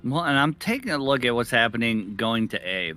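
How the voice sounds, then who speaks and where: A man with a sharp, bright voice of average pitch commentates a game tournament. He stresses his points emphatically and repeats key phrases. Light music runs behind his analysis. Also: expressive, fairly quick. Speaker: esports commentator. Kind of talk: live video game tournament play-by-play and analysis.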